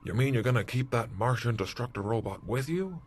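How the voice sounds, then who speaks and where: A man's voice: medium pitch, expressive, fairly quick, darkish tone, a little hiss or hum, close to an everyday voice. A man playing a businesslike space fleet commander calling in by radio in a retro sci-fi audio drama. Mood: puzzled.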